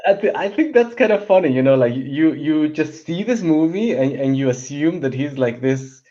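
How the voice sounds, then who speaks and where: A man's voice: fairly high pitch, animated, moderate pace, warm tone, muffled recording. A man chatting casually as a panelist in a film discussion. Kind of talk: casual chat.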